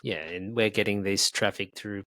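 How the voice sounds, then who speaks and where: Low, dry-sounding man as blunt podcast co-host. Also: fairly even intonation, sharp tone, dry recording. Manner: quick agreeing interjections, blunt. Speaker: sales coach co-host. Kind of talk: casual podcast chat.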